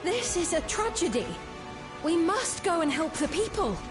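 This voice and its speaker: A woman's voice: very high-pitched, big pitch swings, normal speed, over music. A woman playing a compassionate young adventurer in a video game, earnest and urgent in her delivery. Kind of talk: dramatic video game acting.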